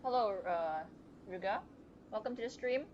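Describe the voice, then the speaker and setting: A woman's voice: medium pitch, moderate pace, darkish tone, lo-fi sound, slight background noise. A woman streaming a game with casual live commentary, blunt and rambling, grumbling a little. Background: game music.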